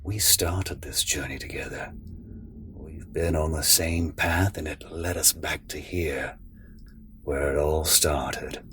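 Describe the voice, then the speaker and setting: A man playing a cryptic, sinister figure in an audio drama, with a measured, knowing, theatrical delivery. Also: very deep voice, fairly even intonation, fairly bright tone, slight background noise, full character voice.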